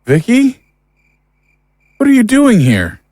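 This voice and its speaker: A man's voice: very high voice, huge pitch swings, slow, darkish tone, dry recording, studio quality, heavily acted. A man playing an earnest, troubled man in a naturalistic audio drama. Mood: alarmed, pleading. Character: earnest, troubled man pleading with a lost love.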